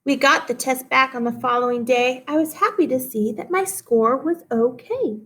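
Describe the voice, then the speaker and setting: Higher-pitched woman teaching a read-aloud lesson. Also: moderate pace, fairly bright tone. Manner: instructive, addresses listeners with guiding questions. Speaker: teacher reading poems aloud for pupils. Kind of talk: lesson read-aloud for children, explaining and asking questions.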